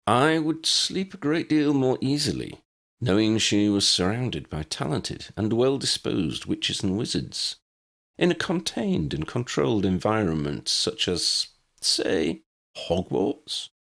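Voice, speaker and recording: A man voicing a polite, wordy wizard gentleman in a storybook, formal, precise and deliberate. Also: lowish voice, very animated, creaky, voice pushed up, full character voice. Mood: delicately cautious. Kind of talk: storybook character acting.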